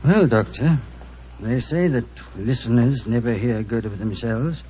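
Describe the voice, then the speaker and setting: An actor playing a touchy, suspicious middle-aged English husband in a 1940s radio drama, with a brusque, edgy British delivery. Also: male voice, mid-range voice, measured pace, mellow, close to an everyday voice. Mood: aggrieved, uneasy. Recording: muffled, noisy 1940s radio recording.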